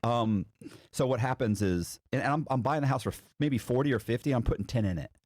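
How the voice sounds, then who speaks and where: A man's voice: mid-range voice, a little fast, darkish tone, dry recording, clean studio sound. A man speaking as an interview guest, telling a story casually and slangily, acting out what people said. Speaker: interview guest recounting past fraud.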